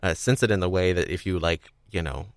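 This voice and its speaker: A man hosting a podcast, talking casually about audio dramas in a halting, hedging way and often chuckling. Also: sits low, vocal fry, clean tone, no room sound, studio quality. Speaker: podcast host.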